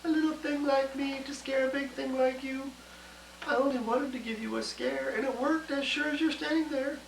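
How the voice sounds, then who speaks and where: A storyteller voicing a tiny, playful male mouse in a rhyming storybook, speaking in a squeaky, teasing tone. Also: steady tone, normal speed, gravelly. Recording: roomy, distant, noisy home recording.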